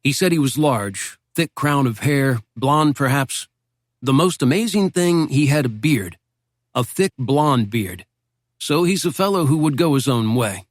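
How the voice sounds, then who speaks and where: A man voicing a calm, modest young male American soldier with a Midwestern accent, speaking plainly and without fuss. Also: mid-range voice, unhurried, no echo, studio quality, natural voice.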